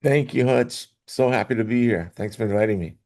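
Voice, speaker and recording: A middle-aged man from Hawaii, a guest in an interview, telling his story in a rambling way with restarts and soft laughs. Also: mid-range voice, a little fast. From Hawaii, Oahu. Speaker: guest, athletic director association executive. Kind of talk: casual interview talk.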